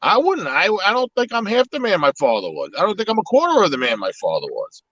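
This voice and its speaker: A man's voice: high voice, wildly swooping pitch, quick pace, fairly bright tone, dry recording, lo-fi sound. A man whose first language is Italian, talking in a casual, reflective way on a live podcast, with many restarts. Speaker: podcast host.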